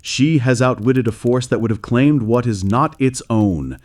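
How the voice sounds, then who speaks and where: Stately, expressive storybook king read by a man. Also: medium pitch. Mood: solemn, proclaiming. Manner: formal, declaratory, measured. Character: stately fairy-tale king making a royal proclamation.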